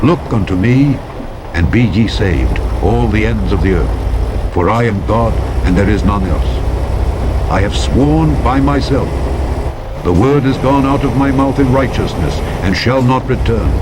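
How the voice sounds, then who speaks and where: A man narrating scripture from a script in a solemn, declamatory, measured way, phrase by phrase. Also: on the low side. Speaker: audiobook narrator. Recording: loud vehicle noise over voice. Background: loud truck driving sounds from a video game.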